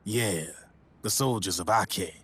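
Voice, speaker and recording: Deep, husky, slick arms dealer. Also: male voice, a bit bright, full character voice. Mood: somber, dramatic. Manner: smooth-talking, measured, dramatic storytelling. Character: slick, worldly male arms dealer, coolly knowing storyteller. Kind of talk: video game cutscene acting.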